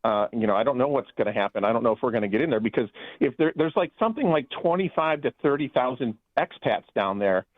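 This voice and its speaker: A man's voice: medium pitch, lively intonation, fast talker, dry recording. A man calling in to a radio talk show as a guest, talking loosely with many restarts and fillers. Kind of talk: phone-in radio interview. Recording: tinny phone line, dry.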